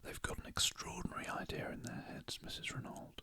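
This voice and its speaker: A narrator voicing an impetuous, blunt, indignant gentleman from a detective storybook in a breathy whisper. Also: brisk, natural voice. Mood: incredulous. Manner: blunt, impulsive.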